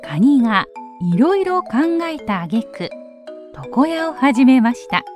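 Slow, expressive woman storyteller. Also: a little high, soft background music. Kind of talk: expressive children's story narration.

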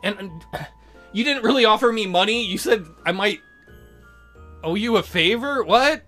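A woman's voice: medium pitch, animated, moderate pace, fairly bright tone, faint music behind. A woman hosting a let's play, giving casual game commentary full of joking, sarcastic asides.

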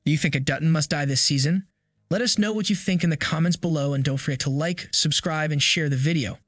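A man reading a scripted voice-over commentary in an even, measured way. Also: fairly high pitch, dry recording, studio quality. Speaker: channel narrator.

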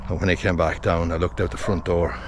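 A man's voice: deep voice, level tone, brisk. A man in his sixties from Ireland, filming himself in his garden and telling a rambling story that keeps correcting itself. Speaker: vlogger. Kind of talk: casual selfie vlog. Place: outdoors in a garden by a house. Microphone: phone camera at arm's length. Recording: noisy outdoor phone recording.

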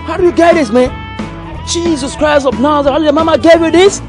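A man's voice: high-pitched, a little fast, over music. A man with a Nigerian accent playing a boastful drinker who puts on a showy, slangy swagger in a comedy film. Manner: showy, animated slangy posturing. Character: boastful drinker putting on a returnee-from-abroad swagger, then pleading.

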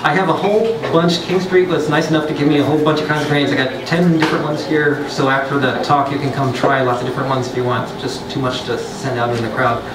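A man's voice: mid-range voice, steady tone, fast talker, hardly any pauses, recorded from a distance, very noisy. A man, a brewer, giving a chatty science talk to a pub audience, with joking asides and frequent restarts. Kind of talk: casual lecture to a pub audience. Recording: roomy, off-mic, loud background.

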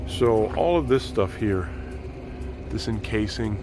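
Male trucker vlogger, forties, low-pitched, casual and explanatory, filmed on a handheld phone in his cab. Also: lively intonation, slow pace, background noise. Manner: chatty, explains step by step to viewers. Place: outdoors by a truck, then inside a truck cab. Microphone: handheld phone at arm's length.

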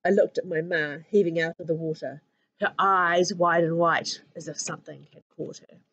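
A woman in her thirties with a New Zealand accent reads a children's picture book aloud with an expressive, sing-song rhyming delivery. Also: lowish voice, normal speed, husky, highs cut off. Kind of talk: storybook read-aloud for children. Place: living room at home. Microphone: webcam at arm's length.

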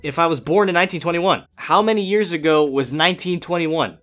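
A young man giving energetic, exasperated gameplay commentary as a gaming YouTuber, with loud outbursts. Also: fairly high pitch, fairly quick, faint music behind.

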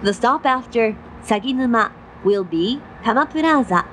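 A woman giving formal, measured railway announcements from a script. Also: fairly high pitch, animated. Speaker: train announcer. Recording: noisy onboard train recording. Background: train running noise.

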